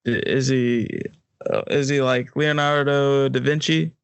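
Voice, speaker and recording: A man's voice: mid-range voice, fairly even intonation, a little slow, creaky, clean tone, no echo. A man with an American accent co-hosting a podcast with casual, blunt, joking banter. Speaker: podcast co-host.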